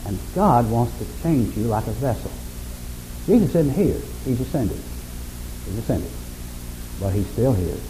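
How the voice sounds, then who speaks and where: A man preaching a sermon in a folksy, unhurried way, with self-deprecating humor and a monotonous, mellow voice. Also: medium pitch, slight background noise, low-quality recording. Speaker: pastor.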